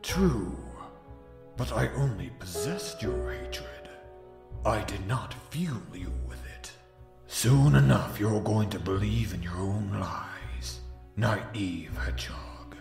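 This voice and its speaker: A man voicing a sinister, gloating dark hedgehog villain in a comic dub, with a gravelly, menacing, theatrical delivery. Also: fairly low pitch, expressive, takes it slowly, full character voice. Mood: cold threatening. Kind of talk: scripted fan comic dub. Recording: echoey voice over background music.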